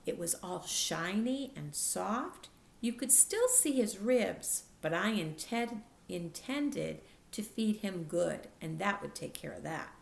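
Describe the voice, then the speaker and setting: A woman reading a children's chapter book aloud expressively, with careful, deliberate storytelling delivery. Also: medium pitch, animated, gravelly, roomy sound.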